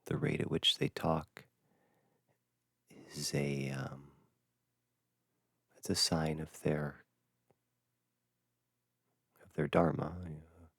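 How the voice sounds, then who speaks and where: Super low gravelly guy doing a gentle meditation ramble, really slow with long pauses. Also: very animated, no echo, studio quality. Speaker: meditation guide. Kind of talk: unscripted dharma talk for guided meditation.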